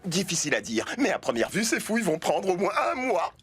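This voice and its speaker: An actor playing an enthusiastic archaeologist in a French cartoon dub, explaining patiently. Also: male voice, high voice, very animated, a little fast. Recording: cartoon soundtrack with loud music.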